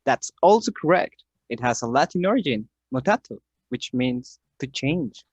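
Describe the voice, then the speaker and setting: A man's voice: mid-range voice, lively intonation, normal speed, a little mellow, husky. A man playing the narrator of a classroom radio play, explaining things in an instructive, scripted way. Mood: explanatory. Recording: dull lo-fi recording.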